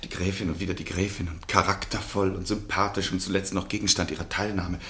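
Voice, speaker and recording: An actor playing a proud, lovesick count in a radio play, formal and ironic. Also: male voice, sits low, level tone, quick pace, pushed and bright. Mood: agitated, pleading. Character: proud, wounded count in love, pleading and sardonic. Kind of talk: German literary radio play acting.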